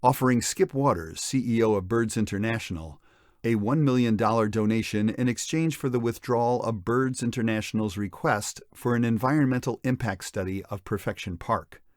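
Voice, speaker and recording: A man's voice: mid-range voice, normal speed, a little mellow, no echo, clean studio sound, natural voice. A man playing a sober evening news anchor, reading a formal scripted bulletin in an audio drama. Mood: sober, factual. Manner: formal newsreading.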